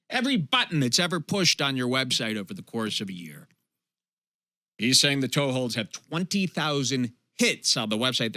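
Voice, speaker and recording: A man's voice: medium pitch, bright, forceful tone, no room sound, studio quality. A man hosting a comedy show, casually commenting on clips in a sarcastic, heckling way. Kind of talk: mocking commentary over clips, casual chat.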